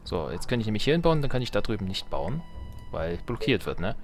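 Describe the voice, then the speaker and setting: A man's voice: on the low side, very animated, a little fast, faint music behind. A man doing casual gameplay commentary, thinking aloud and muttering playful, wry asides to himself. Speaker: let's player.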